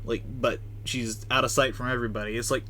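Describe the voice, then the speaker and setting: A man streaming a game with casual, offhand commentary. His voice sits at an average pitch and he talks back jokingly. Faint noise is behind him. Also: level tone, a little fast, pushed and bright. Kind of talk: casual live gameplay commentary.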